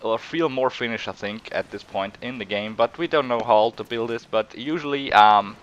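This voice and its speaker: A man's voice: mid-range voice, normal speed, fairly bright tone, clean tone, dull audio. A young man with an Austrian German accent, sick with a cold, giving hesitant, rambling gameplay commentary full of fillers and restarts. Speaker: gaming channel host.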